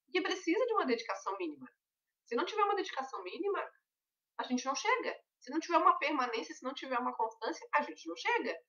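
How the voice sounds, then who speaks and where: A woman guides a group through a mentoring session, her voice bright and fairly high. She explains patiently with everyday examples. The audio sounds distant and muffled, like an online call. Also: big pitch swings, racing. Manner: conversational, reassuring, explains with examples. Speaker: weight-loss mentor.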